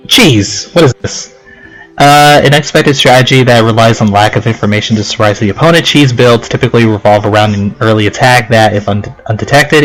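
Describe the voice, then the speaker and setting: Guy doing a chill let's play, middle pitched voice, reads the game text out and rambles puzzled reactions, game music behind him. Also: fairly bright tone, rough recording. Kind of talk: casual gaming commentary, reading game text aloud.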